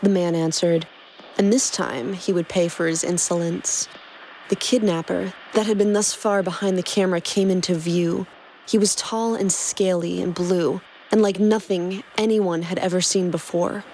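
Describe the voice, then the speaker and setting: A woman's voice: lowish voice, fairly even intonation, normal speed, a little mellow, a little hiss or hum, low-quality recording. A woman doing dramatic scripted narration of fan fiction as a podcast host. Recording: music clearly behind the voice.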